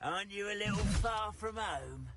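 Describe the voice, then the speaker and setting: A man voicing a menacing, hot-tempered enemy wizard in a video game battle, with a forceful manner. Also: sits high, expressive, moderate pace. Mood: combative. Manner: forceful, shouting. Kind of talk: video game combat acting.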